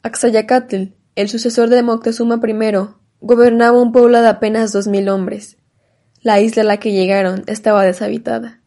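A woman's voice: a little high, level tone, normal speed, mellow. A woman narrating a scripted historical radio drama.

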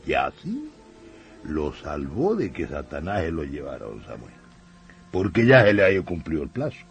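Wildly expressive Chilean storyteller. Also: male voice, extremely low pitch, normal speed, gravelly, faint music behind, full character voice. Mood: folksy, ominous. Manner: colloquial, expressive, folksy. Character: folksy male storyteller telling a devil legend. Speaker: narrator. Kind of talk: radio drama narration.

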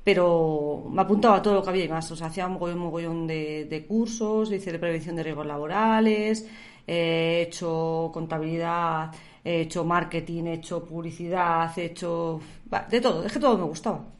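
A woman's voice: on the low side, steady tone, normal speed. A middle-aged woman from Spain with a Spanish accent, a podcast guest telling her life story in casual conversation, chatty and colloquial, laughing now and then.

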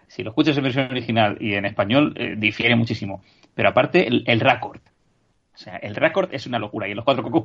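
Man, low creaky voice, talkative podcast panelist, explanatory, slightly dull lo-fi audio. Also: a bit bright. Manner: talkative, explanatory, seeks agreement with tag questions. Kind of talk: casual film discussion.

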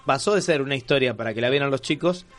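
A man's voice: medium pitch, fast talker, a bit bright. An Argentine man hosting a casual radio magazine show, chatting in a jokey, rambling way. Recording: music playing under the voice.

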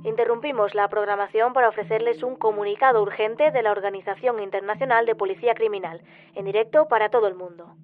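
A woman's voice: medium pitch, fast talker, soft background music, natural voice. A woman playing a formal news announcer giving an urgent bulletin in a radio drama. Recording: tinny, band-limited broadcast sound.

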